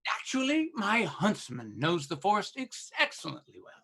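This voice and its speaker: A woman's voice: medium pitch, very animated, normal speed, fairly bright tone, breathy edge, heavily acted. A woman playing a cowardly male sheriff in a radio play, nervous, stammering and obsequious. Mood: nervous, fawning.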